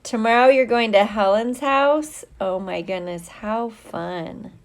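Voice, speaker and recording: Slow, chatty, playful woman teacher on livestream. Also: fairly high pitch. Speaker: teacher hosting a livestream for students. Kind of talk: casual livestream chat, greeting viewers by name.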